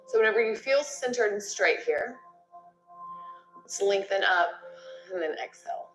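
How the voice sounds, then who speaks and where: A woman's voice: mid-range voice, animated, measured pace, fairly bright tone. A woman leading a yoga class, giving step-by-step cues in an encouraging, conversational way. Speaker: yoga instructor. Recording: roomy echo with music behind. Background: music.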